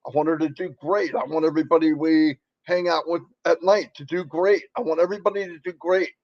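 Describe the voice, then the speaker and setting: A man with a gravelly, crackly voice and a fairly high pitch delivers a rambling, folksy motivational pitch on a live stream. He keeps circling back to repeat his points for emphasis. The sound is dry and a little dull. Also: steady tone, a little fast, dry recording.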